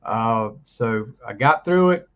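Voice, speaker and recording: Rambling man host, hoarse from flu. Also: medium pitch, expressive, slow pace, a bit bright, lo-fi sound. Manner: rambling, laughs often, trails off mid-sentence. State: recovering from flu, voice deeper than usual. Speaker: podcast co-host. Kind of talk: casual chat.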